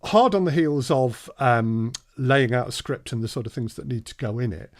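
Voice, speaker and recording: Conversational man with mid-pitched voice. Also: expressive, moderate pace, a little mellow. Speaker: audio drama producer and workshop presenter. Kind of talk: conversational workshop discussion.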